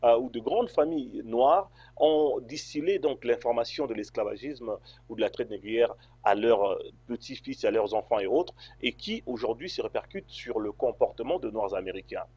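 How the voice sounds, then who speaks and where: A man's voice: mid-range voice, animated, normal speed, hardly any pauses, dark tone, breathy edge, no room sound. A man from Congo answering interview questions, hesitant, with many fillers and restarts. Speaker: interview guest.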